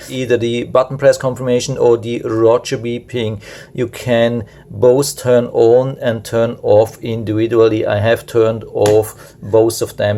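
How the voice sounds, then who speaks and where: A man's voice: mid-range voice, a little mellow. A man giving a casual, unscripted product demonstration in a matter-of-fact, explanatory way. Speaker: product reviewer.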